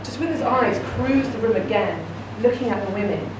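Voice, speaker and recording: A woman's voice: a little high, moderate pace. A woman reading a short story aloud at a live reading event, narrating steadily. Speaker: reader. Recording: echoey room, distant mic, noisy.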